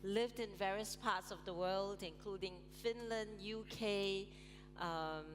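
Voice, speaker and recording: A woman's voice: mid-range voice, takes it slowly. Woman panel moderator in a big reverberant hall with music behind her, chatty, lots of ums. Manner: chatty, laughs often, many ums. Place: large conference hall on stage.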